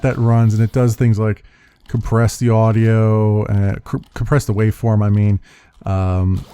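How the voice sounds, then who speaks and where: Channel host, male, young adult, deep mellow voice, halting rambling delivery, soft music underneath. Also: smooth. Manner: halting, full of uhs and restarts. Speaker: YouTube gaming channel host. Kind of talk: rambling casual channel update. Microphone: USB desktop condenser mic. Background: soft background music.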